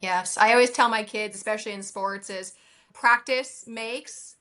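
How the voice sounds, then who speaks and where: Bright, chatty woman podcast host. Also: mid-range voice, fairly even intonation, unhurried. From Nebraska. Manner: chatty, affirming, rambling anecdotes. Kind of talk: casual interview chat.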